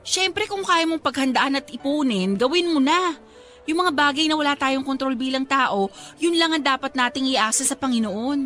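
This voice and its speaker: A woman's voice: sits high, lively intonation, moderate pace, a bit bright. A woman acting a practical, scolding young best friend in a Tagalog radio drama, with a Filipino accent. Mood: preachy. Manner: scolding, preachy, exclamatory. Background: music.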